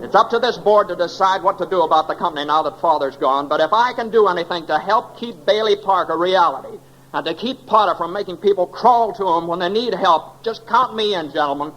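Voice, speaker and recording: An actor playing an earnest young small-town man in a 1940s radio drama, folksy and halting, passionate and easily flustered. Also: high-pitched, fairly bright tone, poor audio. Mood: defiant, impassioned. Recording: old 1940s radio recording, faint noise.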